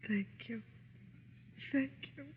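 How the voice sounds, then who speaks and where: High, faltering anxious mother. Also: takes it slowly. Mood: tearful relief. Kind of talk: 1940s radio acting. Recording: noisy, muffled, reverberant old radio recording.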